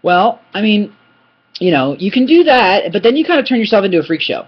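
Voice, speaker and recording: A young man giving a casual, animated language lesson from his couch, rambling with many restarts and asides, recorded on a laptop webcam. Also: sits high, fast talker. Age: young adult. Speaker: Thai language teacher. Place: indoor living room, on a couch. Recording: dull lo-fi webcam audio.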